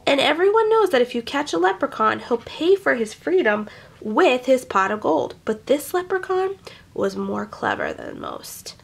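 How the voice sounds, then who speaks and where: A woman reading a children's picture book aloud, expressively, with animated asides to young listeners. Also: sits high, normal speed, creaky, breathy edge. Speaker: storyteller.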